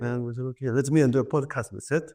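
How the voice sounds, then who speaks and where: A man's voice: mid-range voice, steady tone, very fast, warm tone. A man hosting a podcast, asking questions informally and often repeating words as he phrases them. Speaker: podcast host. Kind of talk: casual interview questions.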